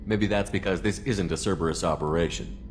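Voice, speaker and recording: An actor playing a male military commander in a video game, with an even, measured delivery. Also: low voice, slight background noise. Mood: steady. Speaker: ship commander.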